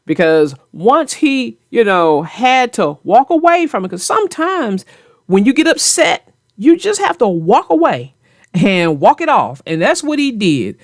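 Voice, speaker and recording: Lively, unhurried woman chatting about storybooks. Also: on the high side, no echo, studio quality. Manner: folksy, playful, teasing. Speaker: host and storybook narrator. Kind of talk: children's read-aloud and casual chat about the story.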